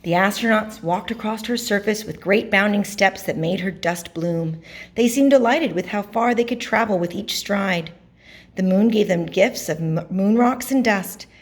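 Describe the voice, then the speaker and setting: A woman's voice: mid-range voice, moderate pace. Woman reading a children's storybook expressively. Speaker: storybook reader. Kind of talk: expressive children's read-aloud narration.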